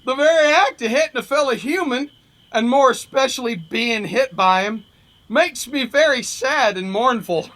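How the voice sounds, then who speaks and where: A mournful boxer from a comic pulp tale , acted by a man reading aloud. His voice is high and sharp, with a simple, plaintive way of explaining himself. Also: moderate pace. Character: mournful, simple-minded weeping male boxer.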